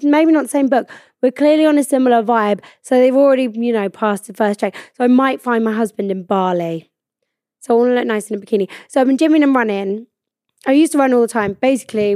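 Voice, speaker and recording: A woman in her twenties hosting a podcast in a studio, chatting casually and swearing freely, her voice croaky from a cold. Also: on the high side, darkish tone, dry recording. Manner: chatty, swears freely, tells stories about herself. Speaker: podcast host. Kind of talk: casual chat.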